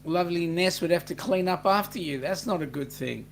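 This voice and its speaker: A man's voice: sits high, fairly quick, creaky, a little hiss or hum. An Australian man hosting a livestream, reading out chat messages and greeting viewers by name in a chatty, jokey way. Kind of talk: casual chat.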